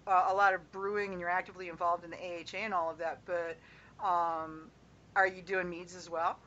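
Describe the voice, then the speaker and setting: A hoarse podcast host who talks in a rambling, hesitant way, full of fillers. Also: mid-range voice, level tone, fairly quick, bright, forceful tone. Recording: muffled lo-fi audio with faint hiss.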